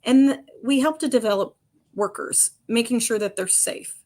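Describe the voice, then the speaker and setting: Commanding woman guest, emphatic and lively. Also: a little high, moderate pace. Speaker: construction industry guest. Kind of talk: podcast interview answers.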